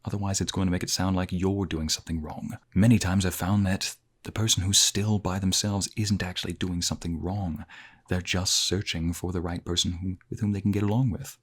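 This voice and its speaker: A man playing a soft-spoken deep-voiced therapist. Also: flat delivery, husky. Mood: gentle. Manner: soft-spoken, measured, reassuring. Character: calm polite male therapist, gently honest. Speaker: therapist character in a roleplay. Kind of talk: ASMR roleplay narration addressed to the listener.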